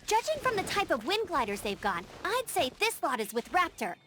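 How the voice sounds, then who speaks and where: Game VO: an energetic young female scout, very high and lively, music bed behind. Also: noisy background. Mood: curious, determined. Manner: energetic, quick to take charge. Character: peppy young female scout, eager and bossy. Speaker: game character. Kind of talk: video game voice acting.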